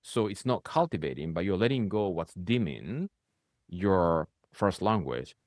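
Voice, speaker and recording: A man's voice: low voice, expressive, a little slow, a little mellow, no room sound, studio quality. A man with a Japanese accent, speaking English as a later language, hosting a reflective conversation and checking in with "right?" as he goes. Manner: speaks in rising bursts, often ends with "right?". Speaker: spiritual coach hosting a conversation.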